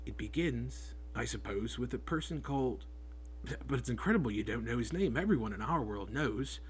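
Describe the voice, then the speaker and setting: A man reading an audiobook, voicing a big rough-spoken groundskeeper who talks in homely, clipped speech. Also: medium pitch, lively intonation, moderate pace, a little hiss or hum, natural voice. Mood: worried storytelling. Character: big rough-spoken male groundskeeper, gruff but kind. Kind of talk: audiobook character voice.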